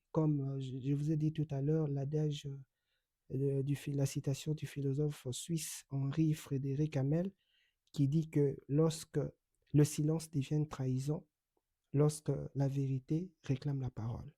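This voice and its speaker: Middle-aged Congolese-born man, Kikongo native, very dark mellow tone, measured and halting commentary, dry close mic. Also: a little high, no echo. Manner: measured, explanatory, with frequent hesitations. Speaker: online commentator and accredited journalist. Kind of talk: direct-to-camera commentary. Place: home in the United States.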